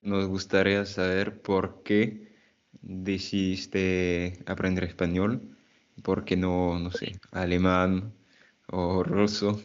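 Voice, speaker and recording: A man in his thirties from France hosting a friendly interview over a video call, asking questions slowly and clearly. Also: sits low, little pitch movement. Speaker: host of a language channel. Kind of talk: conversational interview. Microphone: earphone headset on a video call. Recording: dull video call audio.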